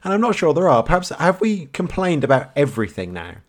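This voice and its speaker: A man's voice: on the high side, very animated, fast. A man co-hosting a podcast in casual chat, with dry teasing humour. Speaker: podcast co-host.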